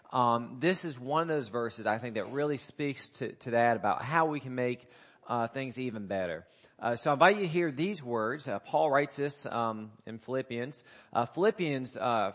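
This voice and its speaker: Scratchy-voiced male pastor, halting sermon. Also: medium pitch, a bit bright. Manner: halting, many fillers and restarts. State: scratchy voice from a coming cold. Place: church sanctuary.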